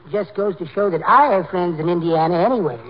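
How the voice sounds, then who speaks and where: An actor playing a meek, slyly gleeful henpecked husband in a 1940s radio comedy, timid, polite and giggly. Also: male voice, sits high, moderate pace, natural voice. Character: meek henpecked little married man, gleefully sly. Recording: muffled, noisy 1940s radio recording.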